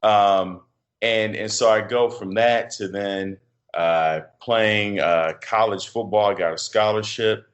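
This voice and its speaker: A middle-aged man from Chicago with a deep voice, a podcast guest talking in a reflective, halting way with many fillers and restarts. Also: fairly even intonation. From Chicago, USA. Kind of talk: casual interview conversation.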